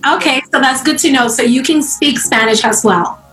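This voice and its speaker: A woman hosting an interview, asking questions in a slow, halting way with non-native grammar. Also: high voice, fairly bright tone. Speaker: interviewer, host. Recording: roomy echo, faint music behind.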